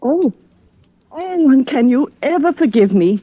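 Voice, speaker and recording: An actress playing a tender, anxious middle-aged wife, earnest and emotional in 1940s radio melodrama. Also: female voice, high voice, lively intonation, a little slow, slightly rough, no echo, full character voice. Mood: apologetic. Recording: muffled, narrow old radio recording.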